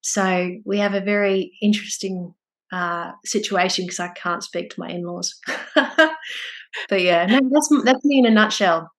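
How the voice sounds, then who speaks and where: A middle-aged Australian woman, a clinical psychologist, talking as an interview guest with energetic, bubbly warmth. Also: mid-range voice, poor audio. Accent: mild Australian. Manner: energetic, bubbly, quick to laugh. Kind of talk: casual interview chat.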